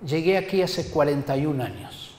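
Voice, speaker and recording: An older man from Argentina, preaching a sermon in an emphatic, deliberate manner and addressing his listeners directly. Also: a little high, darkish tone, a little hiss or hum. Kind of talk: sermon to a congregation. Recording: echoey hall with long reverb.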